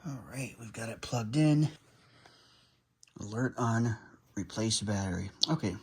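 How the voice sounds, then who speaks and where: A man's voice: fairly low pitch, animated, unhurried, slightly rough. A man casually talking through unboxing and setting up a radio while filming on a handheld phone, muttering as he works. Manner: chatty, mutters while handling gear. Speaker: radio hobbyist vlogger. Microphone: handheld phone camera.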